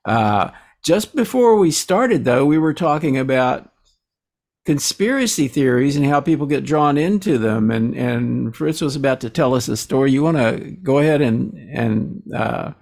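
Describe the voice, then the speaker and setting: A man hosting a podcast interview, asking measured, curious questions. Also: medium pitch, animated, a little mellow. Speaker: podcast host.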